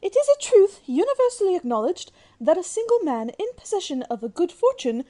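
A woman's voice: extremely high pitch, big pitch swings, a little fast, warm tone. A woman playing a genteel lady of the Regency era in a radio drama narration. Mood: arch.

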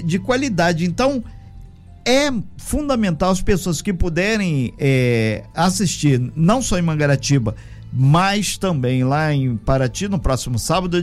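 A man hosting a radio interview, chatty and promotional in tone. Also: fairly high pitch. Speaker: radio host.

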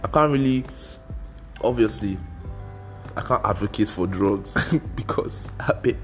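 A young man with a Nigerian accent, Igbo by first language, talks through a casual solo podcast. His voice sits on the low side, and his delivery is loose and meandering, mixing slang and pidgin. Faint music plays softly behind him. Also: a little slow. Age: young adult. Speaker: podcast host.

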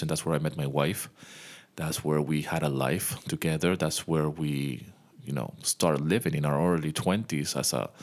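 A man in his thirties who grew up in Venezuela, hosting a casual podcast monologue and talking straight to his listeners. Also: a bass voice. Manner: chatty, speaks straight to the listener. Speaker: podcast host, music composer. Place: home.

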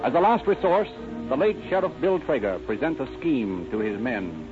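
A man's voice: medium pitch, expressive, moderate pace. A man giving dramatic scripted narration in the style of 1930s radio. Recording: tinny old radio recording, music behind.

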